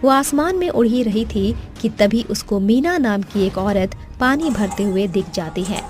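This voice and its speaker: A woman's voice: medium pitch, lively intonation, measured pace, a little mellow. A woman reading scripted narration for a cartoon horror story. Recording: background music under the voice.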